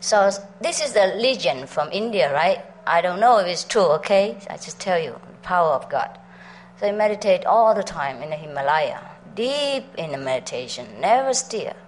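Middle-aged woman teacher, lower register, laughs and quizzes her audience as she tells stories. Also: animated, moderate pace, slight background noise, poor audio. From Vietnam. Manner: chatty, laughs often, asks the audience questions. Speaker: spiritual teacher. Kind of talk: storytelling talk to a meditation group.

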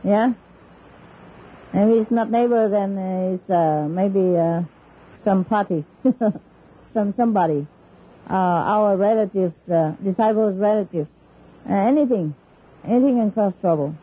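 Middle-aged woman teacher, Vietnamese accent, husky and mellow, muffled lo-fi tape. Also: mid-range voice, unhurried, long pauses. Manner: chatty, laughing often, mixing in Vietnamese. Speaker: spiritual teacher. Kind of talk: informal talk to disciples.

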